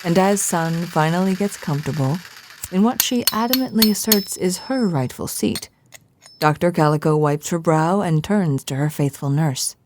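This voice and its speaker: A woman narrates an audio drama from a script. Her voice sits a little low, and she tells it like a mock-grand storybook. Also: normal speed, darkish tone, slight background noise.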